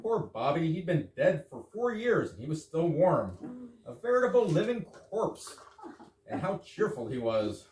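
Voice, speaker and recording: A man's voice: fairly high pitch, sing-song, a little slow, a little mellow. A man playing a fussy, pompous, absurdly opinionated English husband in a staged reading of an absurdist comedy. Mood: dryly absurd. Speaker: amateur actor in a readers theater. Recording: roomy, distant, noisy live stage recording.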